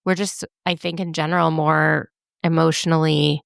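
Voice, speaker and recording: Low-voiced woman, thoughtful casual talk. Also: level tone, moderate pace, creaky, no room sound, clean studio sound. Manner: thoughtful, hedging, lots of "like". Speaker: podcast co-host. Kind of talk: casual chat.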